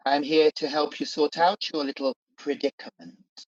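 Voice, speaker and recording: A scheming Demon King played by an actor in a radio pantomime, mid-pitched and theatrical. The delivery is sly and coaxing, and the sound is close and dry but slightly dull. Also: fairly even intonation, normal speed, no room sound, lightly acted. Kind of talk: pantomime radio play acting.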